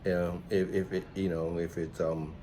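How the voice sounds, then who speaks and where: A man's voice: low-pitched, level tone, unhurried, mellow, a little hiss or hum. A middle-aged man with an American accent chatting casually as a vlog host, rambling and reflective. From United States, New York City. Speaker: host, entrepreneur and musician. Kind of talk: casual conversational vlog chat.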